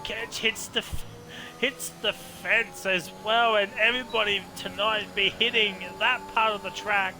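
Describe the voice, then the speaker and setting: A man commentating a live online racing league, reacting with quick exclamations and chatty asides. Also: high voice, steady tone, over music, low-quality recording. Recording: race game engine noise behind voice.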